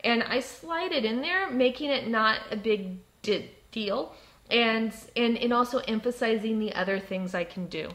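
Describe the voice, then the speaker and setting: A woman who stutters, with blocks and repeated sounds, giving an explanatory advice talk in a composed, frank and deliberate way. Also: fairly high pitch, animated, fairly bright tone, rough recording. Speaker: presenter giving workplace advice. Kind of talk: explanatory talk with personal examples.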